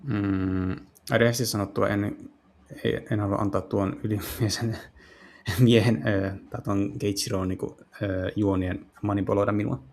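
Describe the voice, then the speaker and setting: A man playing through a visual novel, translating it aloud in casual speech and often halting to search for words. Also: on the low side, fairly even intonation, a little mellow. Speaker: game streamer. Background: game music.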